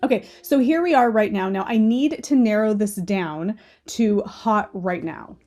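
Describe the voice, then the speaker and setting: A woman's voice: medium pitch, measured pace, warm tone, soft background music. Stuffy, chatty woman knitting vlogger. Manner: chatty and opinionated, often asking "okay?". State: stuffy, slightly nasal. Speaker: knitting channel host. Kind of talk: casual screen-share commentary.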